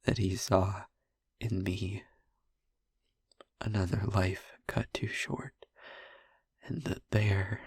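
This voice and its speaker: A man playing a young vampire boyfriend in an intimate audio roleplay, haunted, tender and wry, speaking in a hushed, breathy, husky voice with long halting pauses. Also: low-pitched, flat delivery, no room sound, full character voice.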